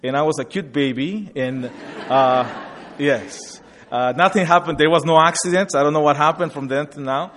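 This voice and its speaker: A man's voice: fairly high pitch, fairly bright tone, a little hiss or hum. A man in his forties, from Guatemala, giving a personal testimony at a church event, stopping and restarting often. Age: 40s. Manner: halting, with many restarts and fillers.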